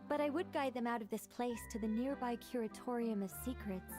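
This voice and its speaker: Woman as a poised, high-voiced stern mage. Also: natural voice. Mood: composed, stern. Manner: measured, formal, aloof. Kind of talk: video game voice acting. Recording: game audio with music behind.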